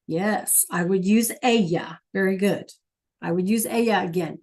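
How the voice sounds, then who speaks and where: Slow-paced woman teaching an online class. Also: medium pitch, darkish tone. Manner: patient, checks in with students often. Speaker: Spanish teacher.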